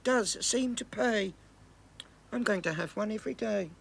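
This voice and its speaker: A woman voicing a cheeky, excitable young boy in a rhyming fairy tale, with some creak in the voice. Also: mid-range voice, unhurried, very noisy. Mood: resolved.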